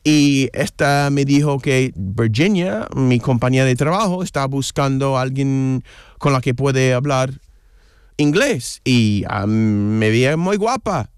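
A man whose first language is English talks as a guest, pausing often and restarting his sentences while he hunts for words. His voice sits at an ordinary middle pitch. The sound is dry and close, with almost no room echo. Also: expressive, smooth. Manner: halting, searching for Spanish words with many restarts. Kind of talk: casual interview conversation.

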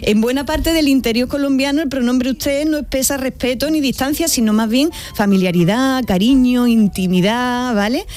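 A woman's voice: high-pitched, normal speed, few pauses, a little mellow. A middle-aged woman with an Andalusian accent, enthusiastically explaining language on a radio talk show and laughing often. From eastern Andalusia, Spain.

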